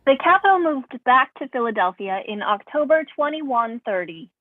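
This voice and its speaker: A woman's voice: high voice, expressive. Phone-thin polite female robot assistant, acted by a woman. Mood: factual, formal. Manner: formal, precise, measured. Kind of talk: staged reading of science fiction drama. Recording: thin phone-like band-limited sound.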